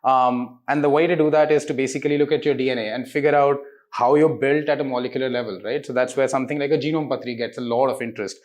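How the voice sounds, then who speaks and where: A man's voice: medium pitch, fairly even intonation, fast talker, few pauses, a little mellow. A man, giving articulate explanatory interview answers peppered with rhetorical questions. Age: 30s. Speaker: interviewed diagnostics company representative.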